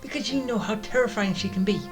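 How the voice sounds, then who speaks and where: A woman's voice: mid-range voice, quick pace. A woman giving informal, rambling let's-play commentary as she plays a video game. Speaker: gaming streamer. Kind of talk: casual let's-play commentary, reacting to and reading game dialogue. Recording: game music behind the commentary.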